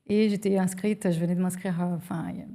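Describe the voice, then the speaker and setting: A middle-aged woman from Brazil, a native Portuguese speaker, giving a personal testimony as a podcast guest in a halting way with pauses. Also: fairly low pitch, fairly even intonation, mellow.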